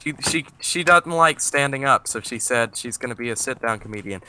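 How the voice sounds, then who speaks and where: A man's voice: medium pitch, animated, a little fast, sharp tone, slight background noise, rough recording. A man with a Southern US accent chatting in a casual, joking, mock-stern way over a game session, with frequent stumbling restarts. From American South. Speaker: gamer on a multiplayer server.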